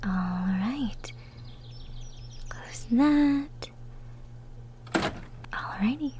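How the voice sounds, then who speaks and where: A woman playing a cheerful, gentle young woman from the woods in an intimate roleplay audio drama, with a sweet, folksy manner. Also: sits high, expressive, drawn-out words, a little hiss or hum, exaggerated voice. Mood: busy, murmuring.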